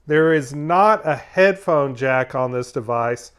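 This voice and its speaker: A man narrating a product review as its host, explaining things in a measured, methodical way. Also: mid-range voice, animated, a bit bright, smooth.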